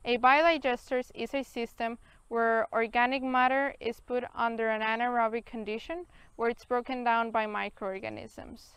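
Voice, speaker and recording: A young woman reading a scripted video narration in a clear, measured way. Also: on the high side, little pitch movement, fairly bright tone, no room sound. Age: young adult. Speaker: student presenter.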